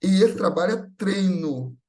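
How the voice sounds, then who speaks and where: A man's voice: sits high, animated, unhurried, a little mellow. A man with a Brazilian accent giving a conversational spiritual talk, teaching with repeated phrases, rhetorical questions and check-in tags. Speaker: speaker at a Spiritist gathering.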